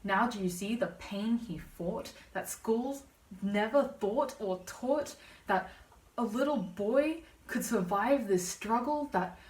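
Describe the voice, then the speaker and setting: A woman's voice: fairly high pitch, lively intonation, slow pace, darkish tone. Echoey young woman reciting slam poetry. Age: young adult. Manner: dramatic, emphatic rhythmic delivery. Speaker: student slam poet. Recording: distant, echoey room pickup.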